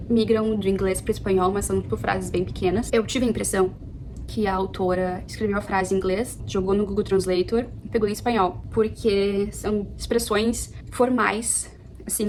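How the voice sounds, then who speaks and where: A chatty young woman from Brazil talks through a book review in a casual home vlog. She sounds animated and keeps rephrasing herself as she goes, at a middle pitch that is ordinary for a woman. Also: slight background noise. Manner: chatty, animated, self-correcting. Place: apartment at home.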